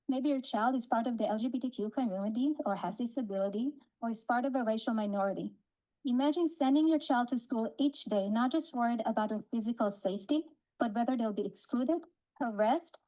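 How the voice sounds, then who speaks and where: A woman with a fairly high voice delivers a prepared speech at a public meeting. Her phrasing is deliberate and earnest, that of a parent advocating for a cause. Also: darkish tone, rough recording.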